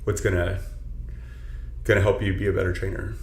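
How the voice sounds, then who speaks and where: A very low-pitched man, a personal trainer from south Texas with Spanish as his first language, speaks earnestly and reflectively. He leans on "you know" often. The sound is roomy, with a faint hum of ambience behind him. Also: flat delivery, a little fast. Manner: earnest, filler-heavy, many "you know"s. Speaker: personal trainer, podcast guest. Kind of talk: casual reflective interview talk.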